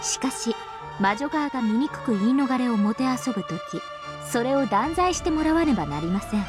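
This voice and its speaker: Haughty, quick young female detective. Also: sits high, expressive, over music. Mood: accusing, haughty. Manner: formal, haughty, theatrical. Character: imperious young female detective making accusations.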